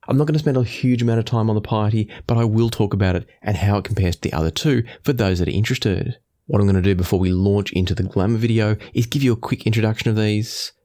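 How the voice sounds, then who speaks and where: Low-voiced man presenting a gear review. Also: darkish tone. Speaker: product reviewer, host. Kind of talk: casual explanatory audio gear review.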